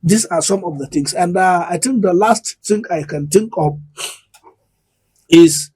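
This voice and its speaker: High-pitched, husky Nigerian man. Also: measured pace, a little mellow. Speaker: education expert guest. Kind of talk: broadcast interview, explanatory.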